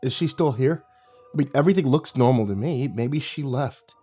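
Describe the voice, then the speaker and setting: A man voicing a jittery, brash skinny boy who shouts in panic and pleads when caught. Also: medium pitch, moderate pace, husky, faint music behind, close to an everyday voice. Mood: hopeful.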